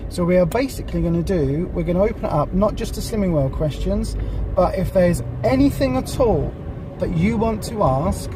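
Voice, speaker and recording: A vlogger with a croaky voice from a cold, chatting in a rambling way with lots of ums from inside a car. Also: medium pitch, animated, moderate pace, distant mic. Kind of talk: casual chat. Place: in a parked car. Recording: noisy car interior. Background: street and traffic noise.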